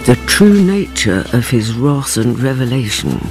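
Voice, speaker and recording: A grave, devoted companion telling a tale in a radio drama. Her formal, theatrical delivery is slow and rises and falls widely. Music plays behind her. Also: a bass voice, sing-song, heavily acted, poor audio. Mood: solemn. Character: older woman narrator, the Count's devoted companion, solemn and impassioned.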